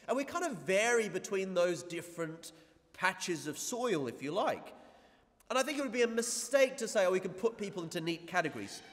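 A man's voice: high voice, a bit bright, in a large hall. A man preaching a conversational homily, with many asides to the congregation. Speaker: priest. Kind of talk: sermon. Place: church.

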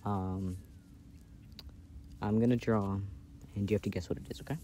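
A man playing an affectionate, playful boyfriend in a casual, intimate ASMR roleplay, speaking softly and hesitantly, recorded outdoors. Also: lowish voice, fairly even intonation, moderate pace, close to an everyday voice. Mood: playful, teasing. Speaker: amateur audio roleplay performer. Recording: outdoor ambient noise.

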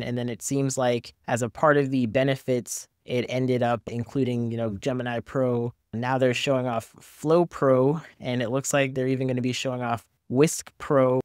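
A man's voice: medium pitch, clean tone, no echo, clean studio sound. A man in his thirties hosting a tech review, explaining things in a candid, casual, conversational way. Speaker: tech review video host. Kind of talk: conversational software review and tutorial commentary. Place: small home studio room, indoors.

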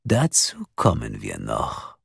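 A man voicing a cold, mocking anonymous male caller who talks slowly and with scorn. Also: a bass voice, huge pitch swings, vocal fry, fairly bright tone, slightly rough, dry recording, clean studio sound, voice pushed down, full character voice. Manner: slow, sneering, drawn-out delivery.

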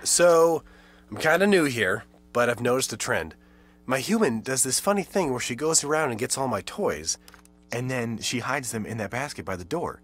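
A man voicing a naive, bewildered pet dog in a conversational advert, with halting asides. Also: mid-range voice, expressive, soft background music, close to an everyday voice. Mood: bemused. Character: naive, puzzled pet beagle, new to the household. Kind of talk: advert character monologue, conversational.

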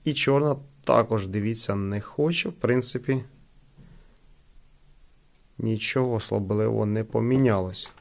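A man with a creaky voice giving casual unboxing and product testing commentary as a host. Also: fairly low pitch, normal speed, pauses often, a little mellow. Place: indoors at a wooden table.

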